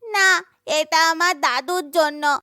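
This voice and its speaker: A woman plays a gentle young female creature from a children's cartoon. The voice is extremely high and bright, sweet and earnest, spoken at an unhurried pace. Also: dry recording, clean studio sound, heavily acted. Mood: pleading.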